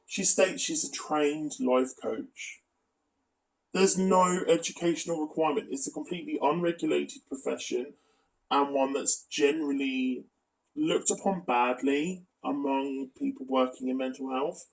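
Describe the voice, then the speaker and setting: A man's voice: medium pitch, unhurried, creaky, far from the mic, low-quality recording. A man giving critical, explanatory commentary as a video commentator.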